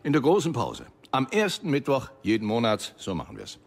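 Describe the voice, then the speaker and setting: A jovial, pushy school director in a radio play, rallying his staff with cheerful speeches. His husky voice swoops wildly between high and low. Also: huge pitch swings, a little fast. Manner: cheerful motivational speeches, leaps up and down in pitch.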